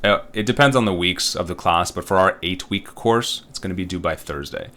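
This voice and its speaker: A man in his thirties with a low, deep voice, talking through an online course page as a college instructor. He rambles casually, leaning on fillers and tag questions as he explains. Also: brisk, a bit bright. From Uzbekistan. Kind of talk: recorded online course walkthrough.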